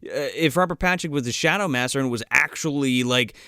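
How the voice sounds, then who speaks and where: A man's voice: medium pitch, a little fast, pushed and bright, clear, resonant tone, no echo, studio quality. A man co-hosting a podcast, chatting emphatically about a movie and swearing. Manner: emphatic, profane, laughs often. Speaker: podcast co-host. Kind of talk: casual movie review chat.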